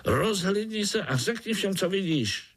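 Young forest spider, storybook hero male reader, high, enthusiastic, rallying. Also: animated, slightly rough. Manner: enthusiastic, proclaiming.

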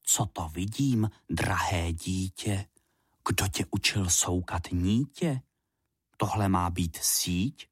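A man's voice: low voice, breathy edge, dry recording, clean studio sound. A man voicing a stern father spider who scolds his child in a rhymed children's poem. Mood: scolding. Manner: scolding, rhyming recitation.

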